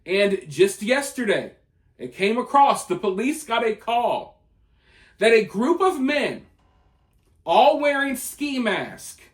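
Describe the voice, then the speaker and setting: High-pitched American man giving emphatic commentary. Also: fairly bright tone. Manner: emphatic, conversational, rhetorical. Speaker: online news commentator. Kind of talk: opinion commentary to viewers.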